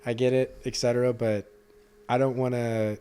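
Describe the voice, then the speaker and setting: A man's voice: mid-range voice, flat delivery, normal speed, warm tone, clear, resonant tone. A man of about thirty talking casually on a podcast, informal and agreeable, in short conversational replies.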